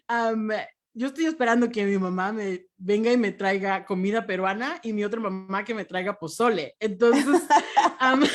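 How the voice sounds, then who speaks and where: Laughing woman from Peru. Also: on the high side. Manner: laughs often, many soft fillers. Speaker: podcast guest. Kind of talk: casual interview conversation.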